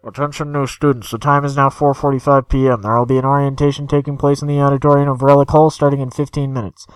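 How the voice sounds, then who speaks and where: A man's voice: medium pitch, flat delivery, fast talker, clean tone, no room sound, faint music behind, lightly acted, poor audio. A man voicing a formal, clipped school public-address announcer in a visual novel. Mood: official.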